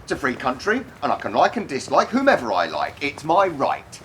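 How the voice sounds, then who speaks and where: A jealous, evasive man played by an actor in a naturalistic radio play. His pitch leaps about dramatically as he stubbornly defends himself, sometimes haltingly. Faint ambient noise sits behind him. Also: big pitch swings, a bit bright. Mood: defensive, irritated. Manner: defensive, halting when pressed.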